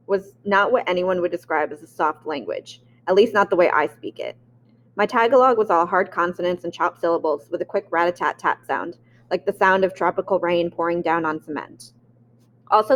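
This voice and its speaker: A woman's voice: on the low side, slight background noise. A woman reading a memoir aloud. She reads expressively and sometimes stops to restart a word. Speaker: audiobook reader.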